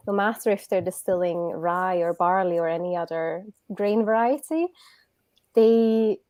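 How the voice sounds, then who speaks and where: A woman's voice: medium pitch, a little mellow, no echo. A woman from Sweden who writes about whisky, speaking on a video call as an enthusiastic, friendly guest. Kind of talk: interview answers. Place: home in Edinburgh, on a video call.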